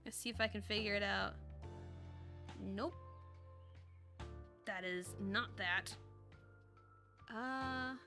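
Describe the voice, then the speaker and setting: A woman livestreamer chatting and reading aloud casually, expressive and exclamatory, often interrupting herself. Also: medium pitch, takes it slowly, pauses often, soft background music. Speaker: streamer reading fanfiction aloud.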